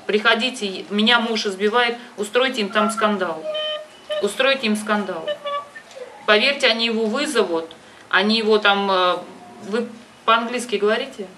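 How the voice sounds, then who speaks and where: A woman's voice: mid-range voice, normal speed. Insistent woman, distant and noisy recording. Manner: insistent, emphatic, repeats herself. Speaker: advisor and host of the phone conversation. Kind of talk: phone conversation, giving urgent advice. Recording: distant microphone with loud background noise.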